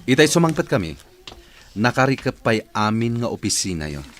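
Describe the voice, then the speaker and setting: A man's voice: mid-range voice, big pitch swings, brisk, faint music behind, close to an everyday voice. A man acting a stern, accusing male boss in an Ilocano radio drama. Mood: angry, accusing.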